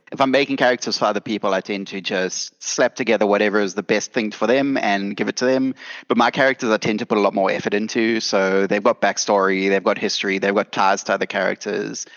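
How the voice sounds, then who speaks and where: A man's voice: lowish voice, fast, fairly bright tone, highs cut off. A man from Durban, explaining his views on a panel in a matter-of-fact way.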